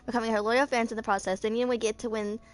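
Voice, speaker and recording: A woman's voice: a little high, flat delivery, fast talker. A woman in her twenties hosting a gaming channel, reading character stories aloud with casual asides, tripping over words and rereading them. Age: 20s.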